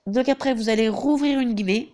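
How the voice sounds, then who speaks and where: A man's voice: very high voice, fairly quick, darkish tone. A teenage boy with a head cold talks through a game tutorial over the screen. He hesitates often and keeps falling back on the same filler phrases. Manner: hesitant, filler-heavy, repeats "donc voilà". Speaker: gaming YouTuber.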